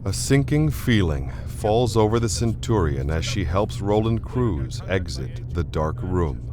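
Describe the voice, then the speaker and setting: A man's voice: low voice, sing-song, measured pace, background noise. A man narrating a radio play in a dramatic scripted style.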